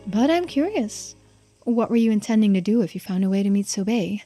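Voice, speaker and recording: A woman's voice: mid-range voice, lively intonation, a little fast, mellow, soft background music. A woman voicing character dialogue in a visual novel playthrough, with conversational, acted line readings. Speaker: streamer reading game dialogue aloud.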